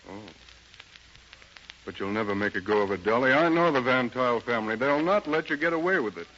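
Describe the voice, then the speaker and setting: A man's voice: medium pitch, animated, fairly quick, a bit bright, natural voice. An actor playing a suave, worldly, sophisticated man about town in 1930s radio drama style. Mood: wounded, cautioning. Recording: dull, noisy 1930s radio recording.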